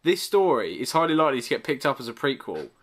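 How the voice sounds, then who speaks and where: A man's voice: medium pitch, very animated, fast, a bit bright. English man bantering on a comedy podcast. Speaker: podcast host.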